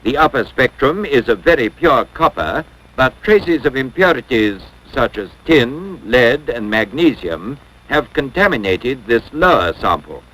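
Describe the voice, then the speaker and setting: Male narrator, 1950s instructional film: scripted, formal and measured, mid-pitched with animated intonation. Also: poor audio. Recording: 1950s educational film soundtrack.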